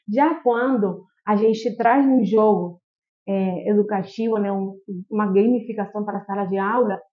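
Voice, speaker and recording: A woman from Cuba with a Cuban Spanish accent, a chemistry professor giving measured, explanatory answers full of small fillers as a podcast guest. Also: a little high. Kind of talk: podcast interview. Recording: tinny phone-like line.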